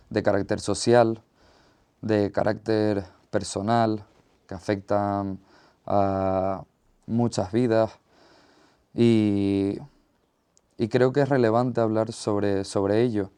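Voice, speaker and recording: A man with a Canarian Spanish accent giving a reflective solo podcast monologue in a measured, thoughtful way, pausing mid-phrase. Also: lowish voice, warm tone, clear, resonant tone. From Tenerife, Canary Islands, Spain. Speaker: podcast host.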